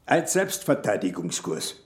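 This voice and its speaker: A man's voice: low-pitched, huge pitch swings, unhurried, echoey room, over a noisy crowd, exaggerated voice. A man acting as a pompous, touchy committee chairman in a radio drama. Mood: self-important.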